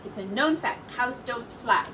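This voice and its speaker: A woman's voice: fairly high pitch, animated, unhurried, distant mic, noisy background. A woman reading a picture book aloud and voicing a fussy, flustered old farm woman who scolds her cow. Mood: scolding. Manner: exasperated, scolding.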